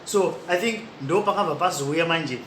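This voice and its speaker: A man's voice: fairly high pitch, normal speed, a bit bright. A man hosting a podcast, giving chatty, rambling commentary and laughing at himself now and then. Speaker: podcast host. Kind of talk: casual commentary mixing Shona and English. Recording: echoey room with noisy background.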